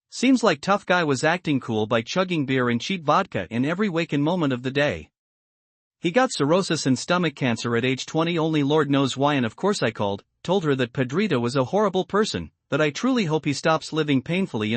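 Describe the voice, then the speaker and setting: Higher-voiced man reading a story aloud. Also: no room sound. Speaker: narrator reading an online post. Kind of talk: scripted story narration.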